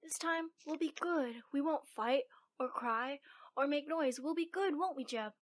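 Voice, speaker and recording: A woman's voice: high-pitched, unhurried. A female performer playing an earnest, plucky little country girl in a radio drama, with plain, folksy childlike talk. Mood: hurt, pleading.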